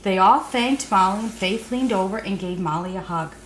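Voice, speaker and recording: A woman's voice: medium pitch, normal speed. Older lady reading a picture book to kids, grandma storytime, roomy echo, little bit of background noise. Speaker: author and storyteller. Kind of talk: reading a storybook aloud to children.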